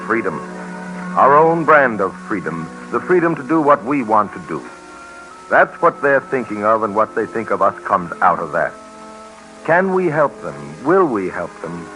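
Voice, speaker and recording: An American man narrating a 1950s radio documentary drama in an earnest, measured, rhetorical manner. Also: lowish voice, sharp tone, rough recording. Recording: vintage radio recording, music.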